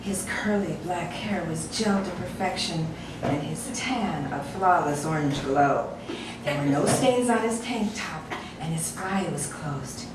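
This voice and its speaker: A woman reads a comic short story aloud with wry amusement, her pitch lively and bouncing. She sounds set back from the mic in a very echoey room, with steady noise underneath. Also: a little slow, recorded from a distance. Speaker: narrator reading a short story. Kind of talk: audiobook story reading.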